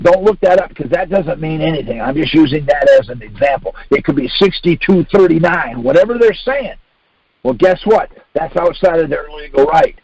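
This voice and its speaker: A man's voice: high-pitched, a little mellow, slightly rough, no room sound. An older American man leading a conference call, giving an informal, insistent instructional talk full of rhetorical questions and acted-out exchanges. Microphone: phone conference line. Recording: dull lo-fi conference call audio.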